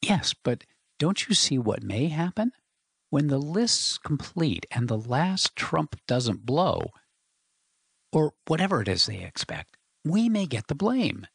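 A man's voice: medium pitch, gravelly, a bit bright, slightly rough, no echo, clean studio sound, pitched above the performer's own voice, exaggerated voice. A man voicing a worried young engineer who talks urgently and uneasily.

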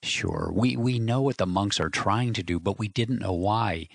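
A man's voice: fairly low pitch, moderate pace, creaky, dry recording, clean studio sound. A man voicing a worried young engineer who talks urgently and uneasily. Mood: urgent, uneasy.

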